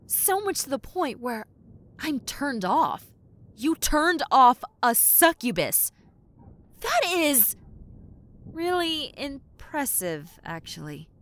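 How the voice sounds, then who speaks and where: Exasperated tomboy succubus with lively, swooping intonation, woman acting. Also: high-pitched, heavily acted. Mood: baffled. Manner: casual, wry, flustered, hesitant stammering. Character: centuries-old tomboy succubus, exasperated but kind demon woman. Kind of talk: audio roleplay acting, spoken to the listener.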